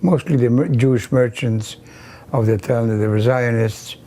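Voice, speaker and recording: An older man from the Carpathian region, Yiddish his first language, recalling his childhood slowly with many pauses and restarts in an oral history interview. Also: mid-range voice, mellow. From Carpathian region of Czechoslovakia. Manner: slow, halting, many ums and restarts.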